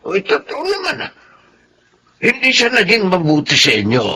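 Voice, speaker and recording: A man with a Filipino accent playing a stern, protective old grandfather in a radio drama, with a gravelly voice. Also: sits high, wildly swooping pitch, normal speed, pushed and bright, slight background noise, heavily acted. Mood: stern, warning. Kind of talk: Tagalog radio drama acting.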